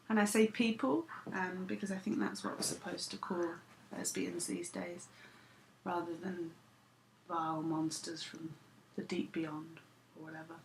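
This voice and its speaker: A woman's voice: lowish voice, vocal fry, slight background noise, rough recording. A woman, vlogging to camera in a dry, self-deprecating, sarcastic way. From United Kingdom. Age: 30s. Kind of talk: casual talking to camera.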